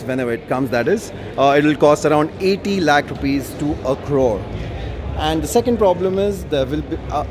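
Guy showing off a car at an expo, bit high voice, lots of noise around. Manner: casual explaining with many fillers. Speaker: car show presenter. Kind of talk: walkaround car video at an expo. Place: large indoor auto expo hall. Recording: noisy expo hall.

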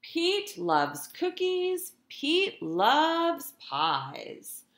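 Animated woman reading to children, slow pace. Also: sits high, roomy sound, noisy background. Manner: expressive, deliberate, addresses children directly. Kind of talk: picture-book read-aloud for young children. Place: indoors in a room at home.

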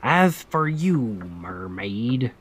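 A man playing a stern, commanding pirate captain with gruff protectiveness in an ASMR roleplay, speaking deliberately and with authority. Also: sing-song, fairly bright tone, slight background noise, full character voice. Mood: gruff, firm. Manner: slow, deliberate, authoritative, phrases trailing low.

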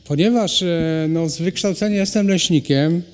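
A middle-aged man, a ceramic artist, answering interview questions in a halting way with many pauses and restarts. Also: on the high side, darkish tone. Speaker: ceramic artist and cultural animator, guest. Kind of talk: hesitant conversational interview answers. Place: hall at a cultural centre. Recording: long reverb of a hall.

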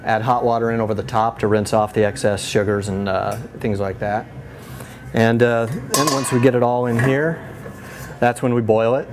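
A man in his thirties, a head brewer giving a casual tour of his small brewery, relaxed and full of fillers and restarts. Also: mid-range voice, moderate pace. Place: small brewery inside a bar, among steel tanks. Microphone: lapel mic.

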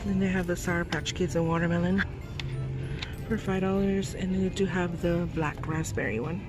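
A woman narrating as she films store shelves, reading out products and prices with soft laughs. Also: lowish voice, fairly even intonation, moderate pace. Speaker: vlogger. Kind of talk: casual shop-with-me narration. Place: large store aisle. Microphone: phone held while filming. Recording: music overlay loud behind voice. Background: store noise and music.

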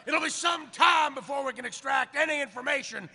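A man voicing a blustering, gravelly cartoon hothead as a dark lord. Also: very high-pitched, a little fast, full character voice.

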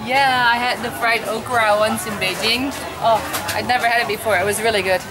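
A woman's voice: fairly high pitch, steady tone, brisk. A woman chatting casually as a travel companion while walking through an outdoor market. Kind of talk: casual chat. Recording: busy street market noise. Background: loud market crowd and vehicles.

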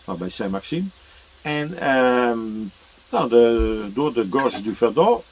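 A man's voice: medium pitch, lively intonation, slow, slight background noise, poor audio. A man in his sixties chatting casually to a handheld camera about his camper trip, easygoing, with plenty of fillers and restarts. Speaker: camper vlogger. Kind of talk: casual travel vlog to camera. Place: inside a camper van, then outdoors by a river. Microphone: camera at arm's length.

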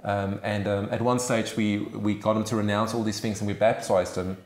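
A man's voice: on the low side, level tone, moderate pace, darkish tone. A man whose first language is Afrikaans, telling stories earnestly and emphatically in a seated discussion. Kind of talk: conversational discussion. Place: small room with sofas, indoors. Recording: roomy indoor sound.

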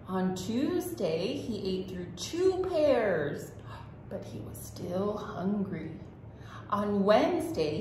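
A woman's voice: fairly high pitch, dark tone. A woman hosting a children's storytime as a librarian, reading aloud expressively and exclaiming as she addresses young listeners directly. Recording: echoey room with faint noise.

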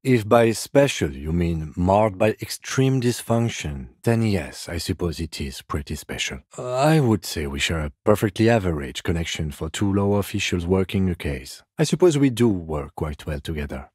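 An actor playing a composed, dry-witted, by-the-book middle-aged police detective in a video game, speaking in a measured, precise, deadpan way. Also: male voice, low-pitched, slightly rough, no room sound. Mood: dry, reserved. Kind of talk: video game character dialogue.

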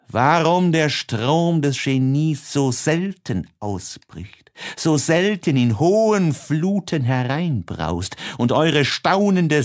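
A man reading literary prose as scripted audiobook narration in an expressive, declamatory manner. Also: medium pitch. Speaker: audiobook reader.

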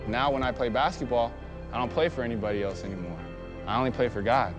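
A man's voice: mid-range voice, fast talker, muffled recording. A young American man in his twenties, speaking earnestly and reflectively about his life in a documentary. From United States. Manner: earnest, reflective, plain-spoken. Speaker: basketball player. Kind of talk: documentary talk and speech. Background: music.

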